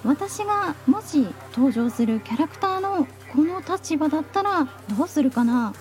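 A woman's voice: sits high, lively intonation, normal speed, faint music behind. A woman giving a polite, reflective promotional testimonial.

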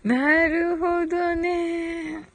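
A woman's voice: very high-pitched, flat delivery, drawn-out words, no room sound. A woman hosting a casual live audio stream, giggly and thinking aloud as she reacts to listener comments.

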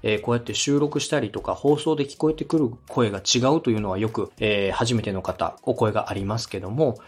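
A man's voice: lowish voice, brisk, slightly rough. A man hosting a podcast interview as a radio director, speaking politely and encouragingly. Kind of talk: structured podcast interview with feedback.

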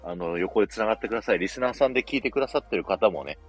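A man's voice: fairly low pitch, rapid-fire, soft background music. A man co-hosting a live audio streaming show, chatting with his partner and making announcements.

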